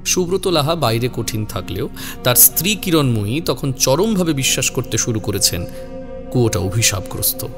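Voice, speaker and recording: A man's voice: mid-range voice, big pitch swings, moderate pace. Male horror story narrator over music.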